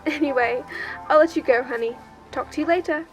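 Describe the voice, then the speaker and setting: A woman's voice: high-pitched, fairly quick, soft background music, lightly acted, rough recording. A woman playing a cheerful, affectionate wife in an audio drama. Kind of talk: audio drama acting of fan fiction.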